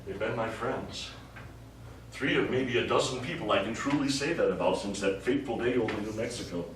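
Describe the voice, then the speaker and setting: A man's voice: fairly low pitch, brisk, close to an everyday voice. A man on stage playing a wry, wistful astronaut who is secretly an alien with a scheme. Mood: musing, quietly satisfied. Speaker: stage actor in a one-act play. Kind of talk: live stage drama monologue. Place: small indoor studio theatre stage. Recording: echoey small theatre, distant mic, faint noise.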